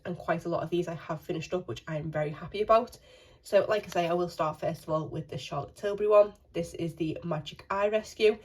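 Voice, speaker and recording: A woman beauty vlogger, croaky from a cold, chatting casually to a handheld phone. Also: lowish voice. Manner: apologetic, chatty, rambling. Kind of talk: casual chat to camera. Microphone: phone held in hand.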